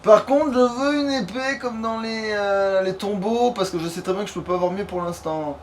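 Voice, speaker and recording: High-pitched man swearing through gaming commentary. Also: moderate pace. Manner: crude, heavy swearing. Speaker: let's player. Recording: faint background noise.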